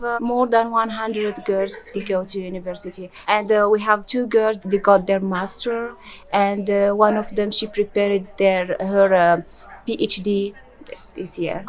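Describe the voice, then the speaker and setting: A woman in her twenties from rural Morocco, a Berber speaker with a Moroccan accent, answers interview questions in hesitant English with many fillers and restarts. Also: mid-range voice. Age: 20s. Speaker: interviewee and volunteer.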